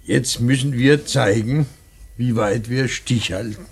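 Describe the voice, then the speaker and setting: Slow, gravelly grieving old father. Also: medium pitch, slight background noise. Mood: tender, firm. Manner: ponderous, repeats words, rhetorical. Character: grieving old father, solemn, philosophical, tender. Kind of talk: German radio play acting.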